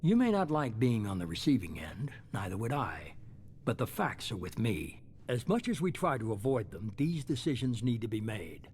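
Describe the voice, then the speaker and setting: Measured, calculating boss, noisy ambience. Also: male voice, lowish voice, big pitch swings, a little mellow. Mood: coolly self-justifying. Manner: measured, authoritative, unapologetic. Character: shadowy calculating male leader, middle-aged, coolly self-justifying. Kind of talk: dramatic video game dialogue.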